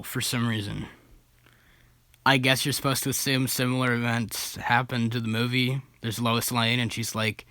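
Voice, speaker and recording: Bright-voiced young man, casual and amused. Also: medium pitch, no echo. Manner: informal, amused, self-deprecating. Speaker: video creator and host. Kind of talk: casual reaction commentary.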